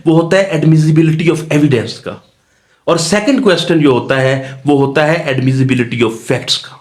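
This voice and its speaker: Higher-voiced man lecturing. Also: slow. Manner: didactic, repeats and rephrases key points. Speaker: law lecturer. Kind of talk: exam-focused lecture mixing Urdu-Hindi and English terms.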